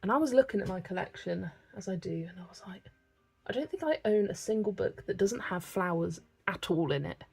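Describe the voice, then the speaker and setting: A woman's voice: mid-range voice, fairly quick, vocal fry, husky, faint music behind. A woman from England, chatting casually and reading viewer comments while she colours on a livestream. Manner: chatty, relaxed, thinking aloud with small laughs.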